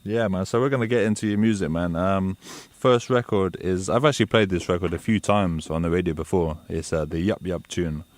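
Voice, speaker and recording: A man's voice: deep voice, brisk, few pauses, no echo. A man hosting a radio show, interviewing a guest in a chatty, enthusiastic way and calling him "man" again and again.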